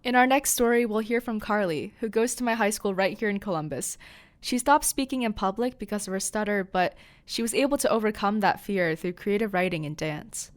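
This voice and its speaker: A woman's voice: medium pitch, a little fast. A teenage girl hosting a student podcast, introducing stories in an upbeat, admiring way. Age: teen.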